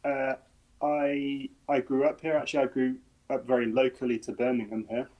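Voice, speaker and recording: A man's voice: medium pitch, little pitch movement, moderate pace, lo-fi sound. A British man, a ballet dancer and choreographer, chatting openly about his career with easy laughter. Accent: British English. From England. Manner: friendly, chatty, laughs easily. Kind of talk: casual interview answers.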